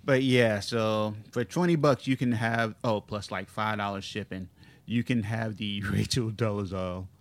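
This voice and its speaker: A man's voice: fairly low pitch, steady tone, measured pace, no room sound. A man hosting a casual comedy podcast, reading out odd news stories with wry asides. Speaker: podcast host.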